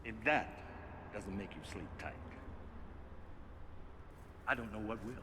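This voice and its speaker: An actor playing a slick, upbeat male corporate spokesman who presents in a polished, flattering, salesmanlike way. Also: lowish voice, measured pace, long reverb tail, background noise.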